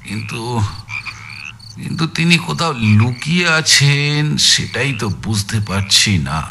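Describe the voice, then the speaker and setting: A man's voice: medium pitch, slight background noise. An actor playing a shrewd detective in an audio story, musing aloud and repeating words as he reasons and instructs. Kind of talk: Bengali audio story acting.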